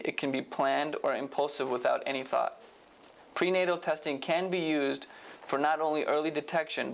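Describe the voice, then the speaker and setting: A man's voice: medium pitch, gravelly, fairly bright tone, slight background noise, rough recording. A young adult man giving a scripted educational presentation as a medical student, in a formal, explanatory manner.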